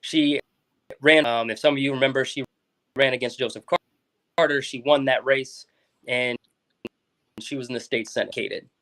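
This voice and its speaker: A young man from South Florida speaks as a guest on a local talk show. He rambles casually, trips over his own words and restarts often. His voice sits at an ordinary middle pitch. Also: moderate pace, pauses often, fairly bright tone, rough recording. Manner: rambling, many restarts and fillers. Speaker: school board member guest.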